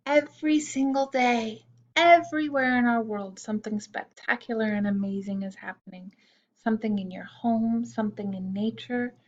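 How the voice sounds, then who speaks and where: A woman reading a children's picture-book poem aloud in a slow, gentle storytime manner with soft hushed asides. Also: on the high side, steady tone, muffled recording. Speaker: storytime reader.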